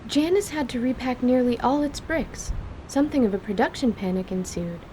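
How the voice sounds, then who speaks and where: A woman as a high-voiced, awkward young mage. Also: animated, mellow, slight background noise, close to an everyday voice. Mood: flustered, awkward. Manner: halting, formal, precise wording. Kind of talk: audio drama acting.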